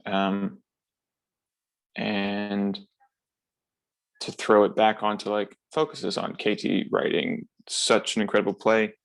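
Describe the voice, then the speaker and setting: A man with a low, gravelly voice offers heartfelt reflections to a panel. He goes very slowly and deliberately, halting earnestly between phrases. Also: monotone, poor audio. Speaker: actor.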